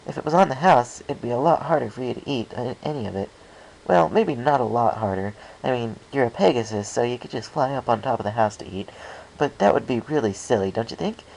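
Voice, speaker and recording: A man's voice: medium pitch, animated, brisk, creaky, a little mellow, pitched above the performer's own voice, low-quality recording. A man voicing a hyper, cheerful young female storybook pony who chatters and rambles in a bouncy manner. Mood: rambling, silly. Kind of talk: storybook character voice in fan fiction reading.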